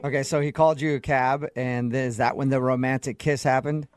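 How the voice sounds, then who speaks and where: A man's voice: medium pitch, fairly even intonation, fairly quick. A man hosting a radio show in a casual morning banter style, teasing and jokey. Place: radio studio.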